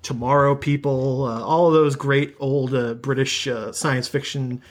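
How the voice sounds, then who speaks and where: A man's voice: medium pitch, fairly even intonation, measured pace. A man chatting as a podcast guest, rambling off on tangents with self-mocking humor. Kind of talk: casual podcast chat.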